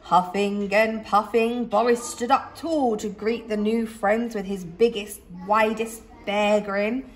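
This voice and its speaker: A woman reading a children's story aloud expressively, like a teacher, with dramatic pauses and emphasis. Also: medium pitch, fairly even intonation.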